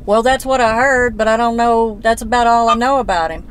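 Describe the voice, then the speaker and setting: A woman's voice: fairly high pitch, fairly even intonation, fairly quick, a little hiss or hum. A woman, questioning a man on a phone call in a blunt, folksy, persistent way. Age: 40s. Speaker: relative investigating a disappearance. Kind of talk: casual phone conversation.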